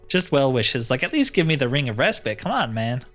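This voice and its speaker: A man with a mid-pitched voice hosts a video game playthrough. He talks casually, thinking aloud in short, informal remarks. Music plays behind his voice. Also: sing-song, moderate pace, a bit bright. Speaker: gaming host.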